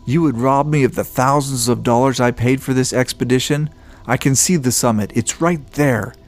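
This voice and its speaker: A man voicing a stubborn, weakening male climber who speaks in a gruff, gasping way. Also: medium pitch, normal speed, lightly acted. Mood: defiant. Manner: weak, gasping, defiant.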